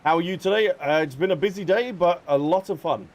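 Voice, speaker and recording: Higher-pitched man chatting on a game livestream. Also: a little fast. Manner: chatty, addresses viewers by name. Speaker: live streamer. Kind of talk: casual game livestream chat. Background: game music.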